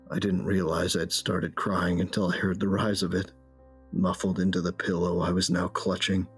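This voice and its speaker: Actor as a deep-voiced, grieving, brooding man. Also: fairly bright tone. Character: grieving big man, tender, weary lover and narrator. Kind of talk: dramatic first-person audio drama narration. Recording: music under the voice.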